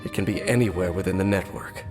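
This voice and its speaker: An actor playing a grave, brooding wandering swordsman who speaks in a slow, formal, solemn way in a game cutscene. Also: deep voice, lightly acted. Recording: game audio with long reverb and music.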